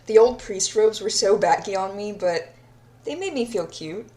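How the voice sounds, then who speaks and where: A woman's voice: mid-range voice, lively intonation, moderate pace, dark tone, lightly acted. A woman playing a deranged, obsessive yandere captor in an audio roleplay diary, sadistic yet childlike, speaking in a dreamy, intimate manner and lingering on words. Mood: wistful, playful. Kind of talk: audio roleplay monologue, recorded diary confession.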